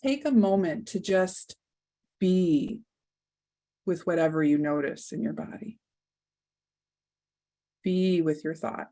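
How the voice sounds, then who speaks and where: A woman leading a guided meditation, speaking softly and soothingly with long pauses. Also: fairly low pitch.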